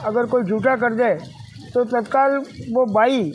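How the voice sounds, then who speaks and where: A man's voice: very high voice, fairly even intonation, a little slow, slight background noise. An older man, a temple priest, speaks outdoors in a formal, reflective manner. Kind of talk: recollection in interview.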